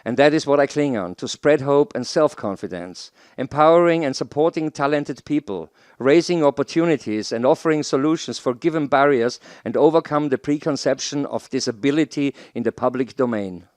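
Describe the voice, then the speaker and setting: A middle-aged man gives a formal, deliberate scripted presentation into a headset mic in a small studio. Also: medium pitch, darkish tone, no room sound. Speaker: presenter, audio educator. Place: small home studio, indoors.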